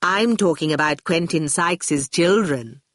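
A woman voicing a calm, chiding figure of authority in a storybook, condescending and sweetly threatening. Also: lowish voice, a little slow, dry recording, studio quality. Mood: sweetly menacing. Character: calm, chiding authority who says dear.

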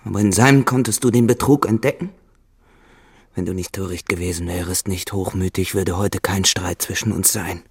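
An actor playing a poor, jealous and possessive farmhand in a German radio drama, with a brooding, insistent and menacing manner and a gravelly, husky voice. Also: male voice, low-pitched, fairly even intonation, moderate pace. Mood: reproachful, insistent.

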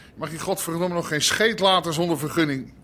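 An actor playing a blustering, evasive underworld boss in a Dutch radio drama, coarse and given to swearing. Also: male voice, fairly high pitch, a little fast, a bit bright, lightly acted. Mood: irritated, pressing.

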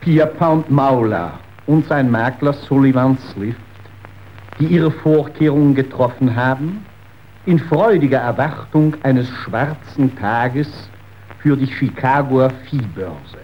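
A man's voice: mid-range voice, takes it slowly, close to an everyday voice. A man reading scripted narration as the narrator of a radio drama. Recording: dull, noisy old radio recording.

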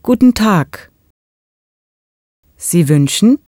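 A woman reading a hotel receptionist's part in a scripted textbook dialogue, clearly articulated and friendly. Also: medium pitch, lively intonation, very slow, mellow, no room sound, studio quality.

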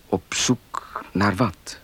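A man playing a determined, suspicious fiancé in a Dutch radio play, earnest and insistent, with a husky, breathy voice. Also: fairly low pitch, very slow, fairly bright tone, full character voice.

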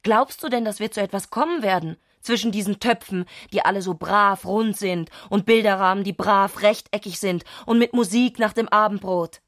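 A woman's voice: medium pitch, moderate pace, few pauses, no room sound, close to an everyday voice. Woman playing an agitated, scornful wife, dry sound. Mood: agitated, provoking. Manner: reproachful, insistent. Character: agitated, scornful wife goading her painter husband. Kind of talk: radio drama monologue.

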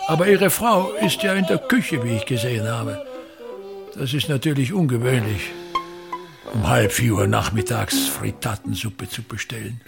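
An actor playing a vain, embittered older theatre actor and playwright in a radio play, domineering and declamatory, with an Austrian accent. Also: male voice, mid-range voice, moderate pace, gravelly, over loud music, natural voice. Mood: bossy, fussy. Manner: theatrical, declamatory, haranguing, repeats words.

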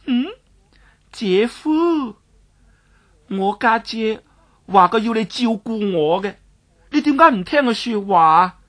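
A woman voicing a mischievous, willful young girl who wheedles and pleads in a drawn-out way. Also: a little high, lively intonation, fairly bright tone, heavily acted. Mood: pleading. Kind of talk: Cantonese storytelling dialogue.

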